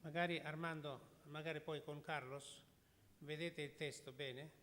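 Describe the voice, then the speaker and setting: A man's voice: fairly high pitch, fairly even intonation, normal speed, fairly bright tone. A man moderating a conference session, hesitant and apologetic, starting over often as he sorts out a translation problem. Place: conference hall. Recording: reverberant hall with faint background noise.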